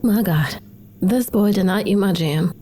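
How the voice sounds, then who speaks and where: A woman playing a bookish, sarcastic teenage schoolgirl in an audio drama, with slangy, expressive delivery. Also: mid-range voice, measured pace, slight background noise, natural voice. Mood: annoyed.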